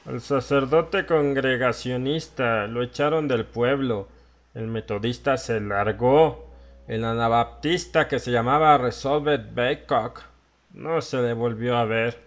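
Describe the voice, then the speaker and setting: A slow, rambling, half-crazed old drunk. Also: male voice, medium pitch. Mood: brooding, ominous. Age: older. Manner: cackling, rambling, insistent questioning. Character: drunken, half-crazed old New England villager, sly and ranting. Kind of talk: dramatized horror audiobook acting.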